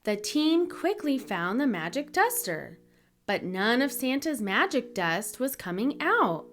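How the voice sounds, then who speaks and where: A woman reading a children's story aloud in an expressive, deliberate storytelling manner for young listeners. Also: on the high side. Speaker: children's book reader, channel host.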